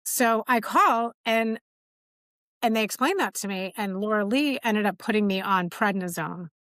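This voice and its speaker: A woman's voice: medium pitch, expressive, a little slow, fairly bright tone, no echo, clean studio sound. A middle-aged woman hosting a podcast, candidly telling a personal story in casual conversation and acting out quoted dialogue. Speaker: podcast host.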